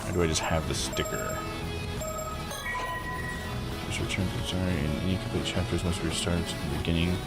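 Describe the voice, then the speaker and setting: A man's voice: sits low, fairly even intonation, quick pace. A man live streaming a visual novel, rambling and thinking aloud in casual commentary. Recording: game music behind voice. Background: video game music.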